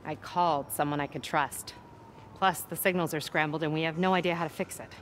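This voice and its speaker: A woman's voice: low voice, steady tone, fairly quick, a little hiss or hum, natural voice. An actress playing a tough, no-nonsense woman police captain with a dry, curt manner. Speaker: police officer.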